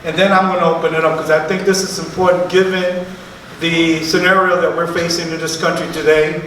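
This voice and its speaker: A man's voice: a little high, level tone, a little fast, fairly bright tone, a little hiss or hum. A man moderating a museum panel talk, chatty and conversational, with casual asides. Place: museum event space, live audience. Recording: echoey hall from far off.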